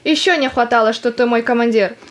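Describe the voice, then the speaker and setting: A woman's voice: a little high, fairly quick, natural voice. A woman in an audio play acting a young, stubborn woman soldier who tells of the war in plain words. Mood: defiant. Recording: faint background noise and ambience.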